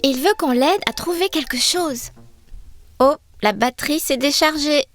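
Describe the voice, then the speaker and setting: A woman voicing a brave, curious little girl in a children's cartoon, eager and expressive as she explains things. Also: sits high, measured pace, faint music behind. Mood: curious, friendly.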